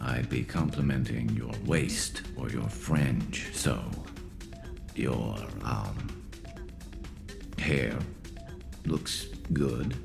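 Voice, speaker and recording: Very deep gravelly alien soldier. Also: male voice, heavily acted. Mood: nervous, tender. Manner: hesitant, fumbling, dryly wry. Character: awkward, earnest male alien soldier, battle-scarred and loyal. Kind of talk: video game acting.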